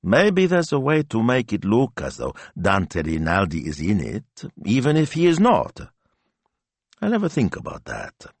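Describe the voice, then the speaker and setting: A man's voice: on the low side, huge pitch swings, a little slow, no echo, voice pushed up, exaggerated voice. A man voicing a shrewd, bossy Italian wife who talks in a practical, decisive, chiding way in an audiobook. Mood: coaxing. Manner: chiding, matter-of-fact, decisive.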